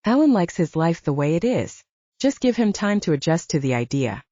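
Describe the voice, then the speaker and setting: A woman voicing a calm, reasoning male werewolf in an audiobook, measured and placating. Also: fairly low pitch, no echo, highs cut off. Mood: patient explaining.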